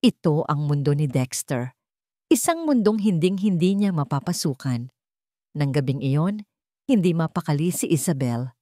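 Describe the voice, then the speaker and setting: A woman's voice: on the low side, dark tone, no echo, studio quality. A woman with a Filipino accent reading a scripted love story as a dramatic storyteller.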